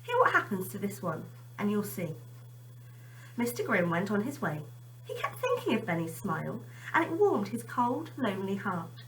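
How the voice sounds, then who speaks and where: A woman's voice: medium pitch, wildly swooping pitch, moderate pace, recorded from a distance, slight background noise, rough recording. A woman teacher chatting informally to children and reading them a story expressively.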